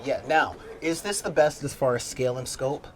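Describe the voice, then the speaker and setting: A man's voice: mid-range voice, moderate pace. An opinionated man on a panel, debating films casually and emphatically. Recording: crowd chatter, outdoor wind on mic.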